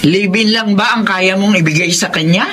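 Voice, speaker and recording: A woman's voice: mid-range voice, moderate pace, a bit bright, slight background noise, lightly acted. A woman with a Filipino accent playing a protective, skeptical middle-aged mother in a radio drama, with a scolding, questioning way of talking. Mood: shocked, scolding. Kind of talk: Tagalog radio drama acting.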